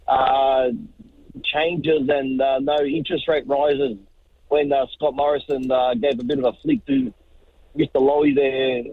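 A man, a truck driver calling in, talking haltingly with lots of fillers and jokes. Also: a little high, vocal fry, husky, no echo. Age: 40s. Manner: halting, joking, full of fillers. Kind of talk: phone-in call. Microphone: phone. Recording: tinny phone line.

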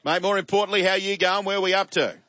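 A man's voice: steady tone, quick pace, no room sound. A man with an Australian accent hosting talk radio, upbeat and matey with his guests. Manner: warm matey banter, upbeat. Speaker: radio host. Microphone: studio microphone. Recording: muffled low-fidelity audio.

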